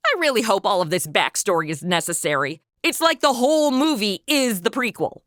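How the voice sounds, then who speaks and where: Woman as a bright, snarky young angel. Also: medium pitch, very animated, fairly quick. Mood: sarcastic. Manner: sarcastic, blunt, interrupting. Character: snarky, irreverent female trainee angel. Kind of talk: comedy audio drama acting.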